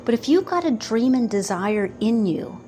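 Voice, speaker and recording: A woman in her fifties giving a slow, earnest motivational talk about manifesting, pausing often between phrases. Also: a little high, animated, dark tone, a little hiss or hum. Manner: slow, pausing, earnest, quotes doubters in put-on voices. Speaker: manifestation coach.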